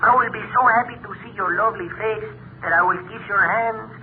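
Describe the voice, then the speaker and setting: An actor playing an amiable, lovestruck farmhand with a Mexican accent and florid broken English in a 1940s radio comedy. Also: male voice, sits high, a little fast. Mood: eager, flirtatious. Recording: tinny, hissy 1940s radio recording.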